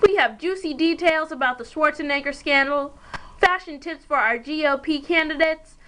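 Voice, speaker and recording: A showy, upbeat talk-show host from an amateur puppet play, put on by a young woman. The voice is fairly high and greets and presents in a scripted patter. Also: moderate pace, a bit bright, low-quality recording.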